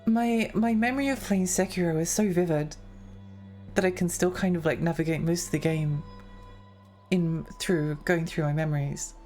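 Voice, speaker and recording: A woman's voice: lowish voice, steady tone, normal speed, warm tone, soft background music. A young woman streaming and chatting with her viewers in a rambling, giggly way, her voice a little worn. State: losing her voice. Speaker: livestreamer reading chat. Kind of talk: casual chat with viewers.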